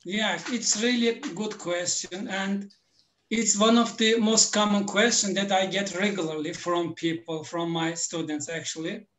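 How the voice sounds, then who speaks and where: A male language teacher answers as an interview guest in non-native English. He speaks very slowly and deliberately, explaining his views step by step. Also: high voice, fairly even intonation, a bit bright, slight background noise, rough recording.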